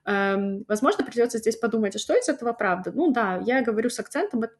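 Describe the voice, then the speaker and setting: A woman's voice: on the high side, lively intonation, fast. A woman hosting a solo podcast on language teaching, talking casually with many "right?" tags and little laughs. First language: not native in the language she teaches. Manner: chatty, frequent "да?" tags and fillers, laughs now and then. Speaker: podcast host and phonetics teacher.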